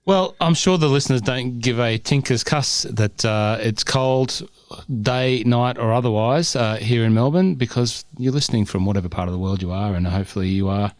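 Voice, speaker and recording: A man's voice: medium pitch, normal speed, dry recording. A man co-hosting a podcast from home, chatty and joking in a casual, upbeat way. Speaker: podcast co-host. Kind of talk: casual chat. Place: home, cold winter day. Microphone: remote call recording.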